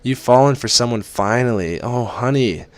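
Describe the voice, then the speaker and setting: A man's voice: medium pitch, normal speed, no room sound, voice pushed up, natural voice. A man reading aloud and voicing a doting, chatty middle-aged mother who fusses affectionately. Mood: fussing. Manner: fussy, affectionate, lilting.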